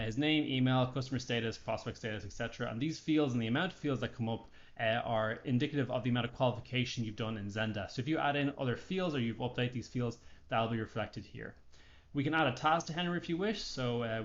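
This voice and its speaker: A man narrating an unscripted software walkthrough, explaining each action step by step as he goes. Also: medium pitch, lo-fi sound. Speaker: software tutorial presenter.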